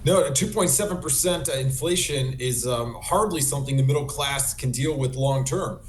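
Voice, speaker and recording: A man's voice: medium pitch, normal speed. A man giving articulate, critical economic analysis as a broadcast guest. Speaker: economic commentator, interview guest. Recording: echoey room with background noise.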